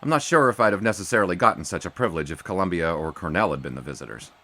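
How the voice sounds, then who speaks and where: A man's voice: sits low, lively intonation, racing, bright, forceful tone, full character voice. A man playing a dry young sportswriter. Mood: narrating. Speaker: narrator and lead character. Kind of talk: 1920s-style audio drama.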